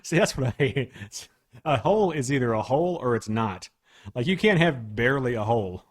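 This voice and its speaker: A man's voice: medium pitch, animated, a little fast. A man who is a podcast producer, chatting casually with dry, teasing, nitpicky remarks. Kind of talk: casual chat.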